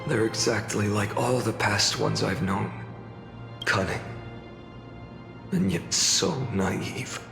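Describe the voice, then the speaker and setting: An actor playing a solemn, weary and menacing warrior in a video game, with a cold, measured delivery. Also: male voice, fairly low pitch, animated, gravelly, full character voice. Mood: world-weary. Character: weary, solemn, menacing warrior who has lived countless cycles. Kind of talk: dramatic video game voice acting. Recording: reverberant hall sound with music behind.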